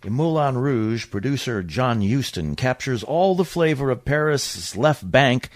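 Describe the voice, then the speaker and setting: An actor playing a polished, courtly show host with formal, grand 1950s radio announcing. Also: male voice, mid-range voice, lively intonation, unhurried, dry recording, clean studio sound, close to an everyday voice. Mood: courtly, promotional.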